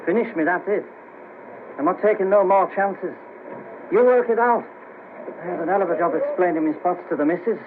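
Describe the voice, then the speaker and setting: A man's voice: high-pitched, fairly quick, long pauses, natural voice. An actor playing a blunt, hard-up, henpecked married father who moans in colloquial speech, in a 1970s radio play. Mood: blunt, fed up. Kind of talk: 1970s radio drama acting. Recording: very noisy, tinny 1970s radio cassette.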